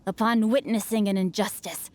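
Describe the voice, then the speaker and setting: A woman's voice: mid-range voice, fairly even intonation, moderate pace, a little mellow, natural voice. A woman playing a refined, clever young nobleman swordsman in a video game, speaking formally and with composure. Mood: polite, assured.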